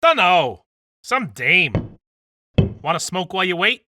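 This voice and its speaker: A man's voice: high-pitched, very animated, slow pace, sharp tone, dry recording, full character voice. A man playing a dim, whiny gangster henchman with slangy, ungrammatical speech in a comic noir radio drama. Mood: casual.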